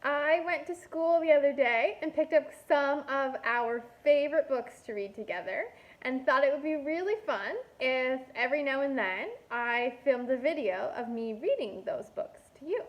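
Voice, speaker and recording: A woman reading a picture book aloud to young children like a kindergarten teacher, expressive and deliberate, with playful asides. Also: sits high, lo-fi sound.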